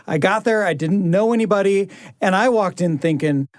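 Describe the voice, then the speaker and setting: A woman's voice: mid-range voice, moderate pace, darkish tone, no room sound, clean studio sound. A woman co-hosting a podcast, moving between conversational chat and scripted narration. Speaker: podcast host.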